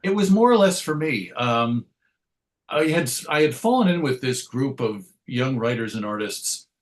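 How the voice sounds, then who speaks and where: A man's voice: mid-range voice, lively intonation, moderate pace. A man telling stories as an interview guest in a reflective, wordy way, circling back to correct himself and adding wry asides. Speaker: interview guest, comics writer and radio host. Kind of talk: conversational interview storytelling.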